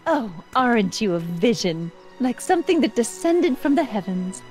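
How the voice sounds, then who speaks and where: A woman playing a prim young sweetheart with a quick temper in a video game, proper and dramatic. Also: fairly high pitch, sing-song, a little mellow, faint music behind. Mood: adoring. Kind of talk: animated video game acting.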